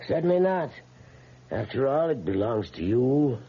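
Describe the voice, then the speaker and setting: An actor playing a courteous, composed old gentleman with a measured, formal, wistful way of speaking in a radio drama. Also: mid-range voice, very animated, creaky, a little hiss or hum. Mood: frail, courteous. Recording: muffled old-radio sound.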